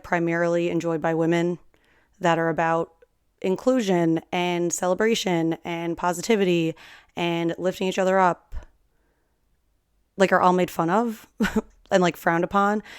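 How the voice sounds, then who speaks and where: A woman in her twenties, slightly hoarse, hosting a solo podcast in a chatty, rambling, big-sister way. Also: fairly low pitch, no room sound, studio quality. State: slightly hoarse from singing at a concert. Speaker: podcast host. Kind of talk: casual solo podcast chat.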